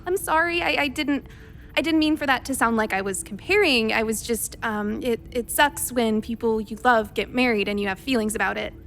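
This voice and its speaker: An earnest, caring and slightly awkward woman doctor chats in a space radio drama. Her voice is on the high side, with a hesitant conversational flow. Quiet music sits behind her. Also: moderate pace. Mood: awkward, apologetic. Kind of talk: radio play dialogue.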